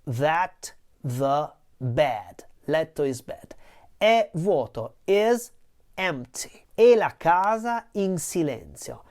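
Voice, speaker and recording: A woman's voice: sits low, sing-song, slow, darkish tone. A woman teaching Italian, translating a song line by line and patiently repeating each word with its meaning.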